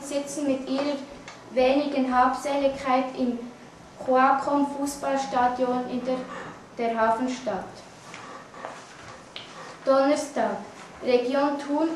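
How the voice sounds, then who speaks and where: A young woman with a Swiss German accent reads scripted news in a halting, stumbling way. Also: high voice. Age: teenager or young adult. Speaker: student news reader. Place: classroom, indoors. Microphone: camera mic at a distance. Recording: echoey room, distant mic, faint noise.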